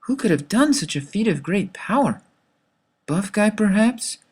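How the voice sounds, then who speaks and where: A man voicing an excitable, curious boy who eagerly exclaims questions in a children's storybook. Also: sits high, measured pace, husky, voice pushed up, heavily acted. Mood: awed, nervous.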